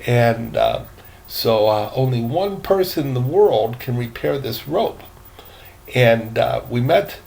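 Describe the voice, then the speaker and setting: An older man, a grandfather, reading a book aloud in a casual, folksy way with a halting, playful, self-mocking manner. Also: medium pitch, gravelly, a little mellow.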